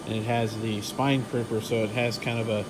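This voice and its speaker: A man's voice: lowish voice, moderate pace, background noise. Man touring his print shop on a phone. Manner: relaxed, technical, many fillers. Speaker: print shop owner giving a tour. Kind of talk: casual walkthrough tour. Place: print shop offices and warehouse, indoors. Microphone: handheld phone camera, filming while walking.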